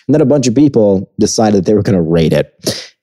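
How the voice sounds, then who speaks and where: A man's voice: lowish voice, sing-song, a little fast, mellow. A young man hosting a podcast, explaining events matter-of-factly and asking his guest questions. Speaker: podcast host. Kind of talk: casual chat.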